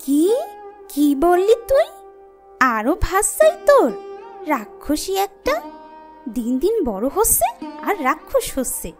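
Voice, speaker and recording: A woman's voice: very high voice, wildly swooping pitch, takes it slowly, pauses often, a little mellow, faint music behind, exaggerated voice. A woman voicing a cruel, domineering stepmother in a fairy-tale cartoon, scolding and taunting harshly.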